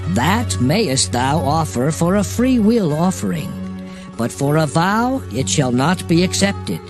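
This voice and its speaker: Guy doing solemn god laying down the law, audio bible, higher male voice, very expressive pitch, music behind. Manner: solemn, declarative, formal. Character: God's solemn male voice reciting sacred law. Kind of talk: dramatized scripture reading.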